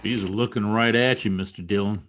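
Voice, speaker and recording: A man playing a simple, loyal frontier sidekick in an old-time radio western, with folksy rustic phrasing and a gently fretful manner. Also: on the low side, steady tone, normal speed, slight background noise, lightly acted. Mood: hopeful, folksy.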